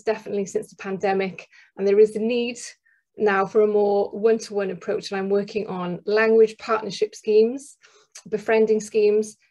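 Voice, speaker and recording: A woman giving the close of a webinar presentation in a measured, arguing tone, with a few "um"s. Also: medium pitch, darkish tone. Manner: measured, argumentative, some fillers. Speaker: researcher, webinar speaker. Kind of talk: presentation on a video call. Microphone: video call.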